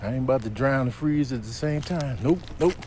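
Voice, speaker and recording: A man's voice: medium pitch, a little fast, very noisy, pitched below the performer's own voice, close to an everyday voice. A man voicing a wary, stubborn lynx who mutters in slang in a comic animal voiceover.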